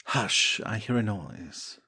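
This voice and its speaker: Man playing a husky, courteous gentleman lover. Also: lowish voice, expressive, slow pace, full character voice. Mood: secretive, courtly. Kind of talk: audio play acting of classic French comedy.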